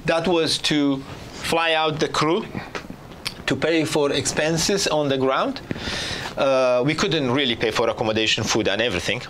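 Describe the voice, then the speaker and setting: A man's voice: a little high, unhurried, background noise. A man in his fifties, a filmmaker guest, answering students' questions and explaining at length with many restarts. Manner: animated, gesturing, explaining with restarts. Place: small studio set with sofa. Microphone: lapel.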